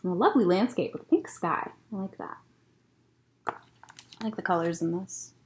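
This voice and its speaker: A woman's voice: lowish voice, normal speed, a little hiss or hum. A young woman hosting a children's story time, reading a picture book aloud expressively with casual asides. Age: young adult. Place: library room lined with bookshelves, indoors.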